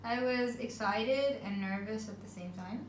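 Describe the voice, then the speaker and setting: A woman's voice: on the high side, measured pace. Woman, twenties, from Egypt; plain brief interviewee, roomy distant recording with faint noise. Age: 20s. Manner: short, plain answers. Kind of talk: casual interview answers.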